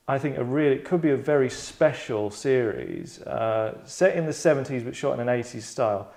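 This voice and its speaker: A man's voice: mid-range voice, darkish tone. A man hosting a table read, explaining things casually to a group in a sardonic, rambling, mock-dramatic way. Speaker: host directing an actors' table read. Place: London.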